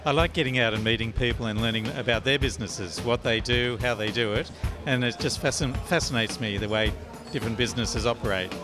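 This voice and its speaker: A man in his fifties talks into a handheld mic at a mid pitch. He is steady and matter-of-fact. Music plays clearly behind him. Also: a little fast, few pauses. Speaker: business adviser. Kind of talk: vox pop interview answer. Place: indoor function room. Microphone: handheld interviewer mic. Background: background music.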